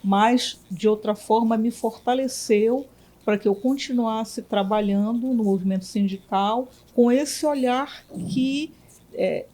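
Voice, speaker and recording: Woman, from Belém, doctor and union director, mellow warm voice, thoughtful, halting. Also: mid-range voice. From Belém, Brazil. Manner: reflective, many fillers and restarts. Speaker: physician and union director, guest. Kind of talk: interview answers.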